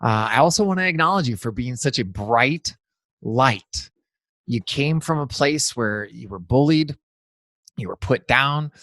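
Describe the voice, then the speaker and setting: A man's voice: medium pitch, lively intonation, measured pace, bright, forceful tone, no echo. A man hosting a podcast, wrapping up an interview and giving an enthusiastic, motivational outro that repeats phrases for emphasis. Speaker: podcast host.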